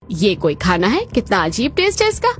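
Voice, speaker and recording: A woman playing a grasping, complaining older mother-in-law in a cartoon family drama, with a sarcastic, drawn-out delivery. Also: mid-range voice, wildly swooping pitch, a little hiss or hum. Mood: disdainful.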